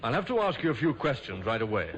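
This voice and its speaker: An actor playing a calm, methodical police inspector in a radio drama. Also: male voice, medium pitch, fast, dull audio. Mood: questioning. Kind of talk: 1970s radio drama acting.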